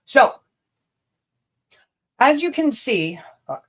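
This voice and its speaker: A woman's voice: high voice, very animated, extremely slow, husky. A woman from Canada hosting a livestream, chatting casually and reading emails aloud with a blunt, sweary, sarcastic delivery. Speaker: livestream host. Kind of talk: livestream chat mixed with dramatic reading of emails.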